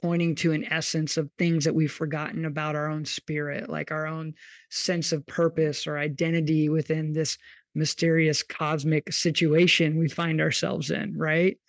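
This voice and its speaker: A man hosts a casual podcast conversation, wandering through long, curious questions. His voice sits high for a man and stays level, with little rise and fall. The sound is dry and close. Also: flat delivery, normal speed, no room sound. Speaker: podcast host. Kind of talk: casual interview.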